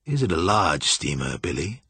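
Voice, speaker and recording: Audiobook vampire lord, played by a male narrator: very low, courtly, languid, mocking menace. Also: very animated, normal speed, a bit bright, dry recording, exaggerated voice. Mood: courtly, musing. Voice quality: low, smooth, musical. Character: suave, sinister male vampire master, slyly menacing. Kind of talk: audiobook character acting.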